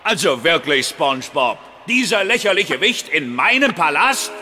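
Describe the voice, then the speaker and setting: A man's voice: on the high side, very animated, normal speed, pushed and bright, slight background noise, exaggerated voice. An actor playing a pompous, arrogant male sea god in a cartoon radio play, declaiming grandly and theatrically. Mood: haughty.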